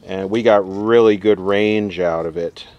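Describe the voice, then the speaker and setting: A man speaks in a lowish voice at a very unhurried pace. His commentary is casual and unscripted, like a vlogger showing equipment hands-on. He rambles a bit, working things out aloud as he goes. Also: steady tone, highs cut off. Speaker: vlogger demonstrating vintage radio equipment.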